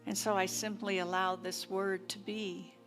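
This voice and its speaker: Slow-speaking woman minister preaching. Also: mid-range voice, flat delivery, music in the background. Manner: deliberate, reverent, with long pauses. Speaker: minister leading a service. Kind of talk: sermon and guided prayer. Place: church service.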